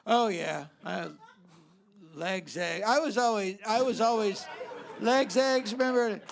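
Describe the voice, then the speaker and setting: A man's voice: high-pitched, slow, creaky. A man hosting a live comedy talk show on a theater stage, rambling and giggly with mock bewilderment.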